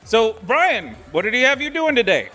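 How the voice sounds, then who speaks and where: An affable lawyer and peacemaker in an audio play. His high voice is bright and very lively in pitch, polite and conciliatory in manner. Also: normal speed, soft background music, heavily acted. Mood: easygoing. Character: affable male lawyer colleague, placating peacemaker. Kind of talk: audio theatre dialogue acting.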